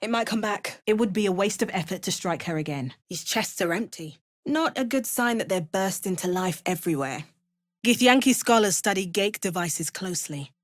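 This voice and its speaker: Actress doing video game adventurer woman, determined n watchful, medium pitch, short remarks and spell chants, dry studio sound. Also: animated, moderate pace, dry recording, natural voice. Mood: measured, observant. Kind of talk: video game character voice lines.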